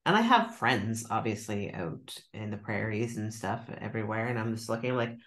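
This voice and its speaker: A middle-aged woman, a novelist, chatting casually over a video call with a book club, self-deprecating and quick to laugh. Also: very deep voice, expressive. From Nova Scotia, Canada. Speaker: novelist guest.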